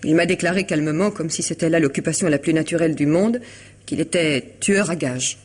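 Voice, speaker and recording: A woman's voice: sits low, a little fast. An actress playing an elegant, troubled woman confiding in a lawyer friend in a vintage French radio drama, hesitant yet articulate. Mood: uneasy, hesitant. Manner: hesitant, restarting, articulate and formal.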